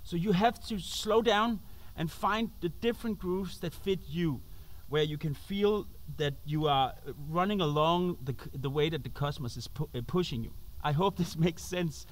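A man in his thirties with a Danish accent, talking informally to camera as a host and feeling his way through English. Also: a little high. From Denmark. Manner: halting, searching for English words. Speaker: host and musician. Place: outdoors on an open roadside.

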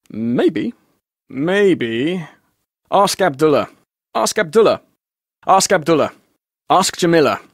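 A man's voice: fairly high pitch, dry recording, studio quality, full character voice. A man with a British accent voicing a sarcastic, cash-strapped young tour guide in a video game. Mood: curt. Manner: sarcastic, joking. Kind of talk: video game character voice lines.

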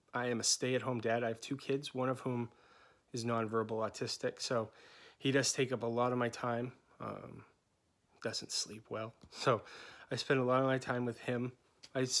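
A man in his thirties from New England introducing himself as a candidate, talking loosely with many pauses and restarts, filmed on a phone. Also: medium pitch, fairly bright tone. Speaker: candidate for chapter coordinator. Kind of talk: unscripted campaign introduction. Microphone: phone held at arm's length.

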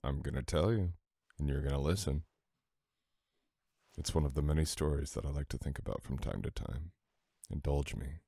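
Man acting as sweet boyfriend, ASMR roleplay, gravelly fry, bone-dry intimate recording, teasing, affectionate, soft-spoken. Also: rumbling low, moderate pace, no echo, full character voice.

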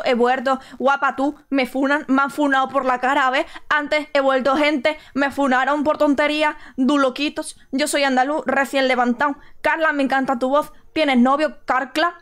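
High-voiced sarcastic young Madrid streamer. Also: female voice. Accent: Madrid Spanish. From Spain. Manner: animated, sarcastic, often shrieks and squeals. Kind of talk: casual streaming commentary reading chat. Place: home streaming room.

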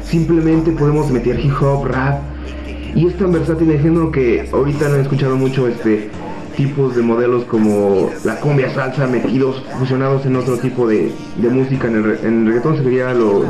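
A sixteen-year-old Mexican boy from Puebla, talking softly and hesitantly into a webcam in a small office, with many fillers. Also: mid-range voice, steady tone, fairly quick. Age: teens. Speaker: young reggaeton artist. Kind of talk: self-interview to webcam. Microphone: webcam at desk. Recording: echoey room with music behind.

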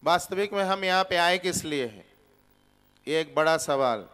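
A man in his forties giving a spiritual discourse in a temple hall, teaching slowly and deliberately with rhetorical questions into a stand microphone. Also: on the high side, animated, fairly bright tone, clear, resonant tone. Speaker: preacher. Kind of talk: Gita discourse. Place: temple hall with seated audience, indoors.

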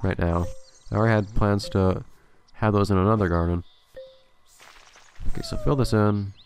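A man's voice: fairly low pitch, wildly swooping pitch, normal speed, darkish tone. A man commentating a gameplay video casually, thinking aloud with a slightly nasal, stuffy voice. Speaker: let's play host.

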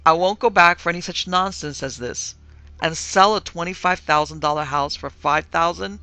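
A man voicing a gruff, short-tempered middle-aged father in an audiobook, loud, emphatic and impatient. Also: fairly high pitch, moderate pace, no echo. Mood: firm, irritated. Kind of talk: audiobook character acting.

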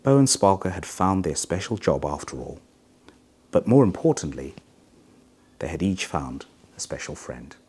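A middle-aged man reading a children's story aloud in an expressive, measured way. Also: low voice, husky. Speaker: hospital chief executive reading a children's story.

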